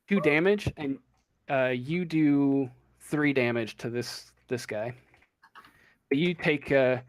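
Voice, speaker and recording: Halting man running the game, dry sound. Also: on the high side, dry recording. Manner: loose, halting narration full of "uh" and "like". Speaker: game master. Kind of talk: narrating a tabletop game over voice chat.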